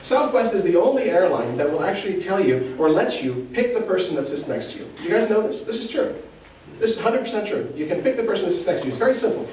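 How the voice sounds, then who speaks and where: Egyptian-born guy doing stand-up, slightly high voice, chatty and poking fun at himself, heard far off in a really echoey club. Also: sing-song, fast talker, a little mellow, slight background noise. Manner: self-deprecating, conversational, asks the crowd questions. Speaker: stand-up comedian. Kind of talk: stand-up comedy set. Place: comedy club stage.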